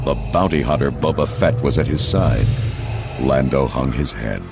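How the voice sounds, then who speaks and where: A man's voice: very deep voice, normal speed, creaky. A man reading scripted, dramatic narration for a children's readalong storybook. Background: orchestral music.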